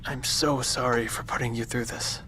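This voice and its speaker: Male actor as wounded, remorseful man, weak and halting delivery, audio-drama style, faint background noise. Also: mid-range voice, steady tone, pushed and bright, natural voice. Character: injured, guilt-ridden man in charge of students.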